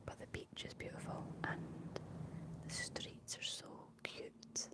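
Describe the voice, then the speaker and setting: A woman with a Scottish accent whispering as an ASMR live streamer, reading and answering chat messages. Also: takes it slowly. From Scotland. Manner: speaks entirely in a whisper.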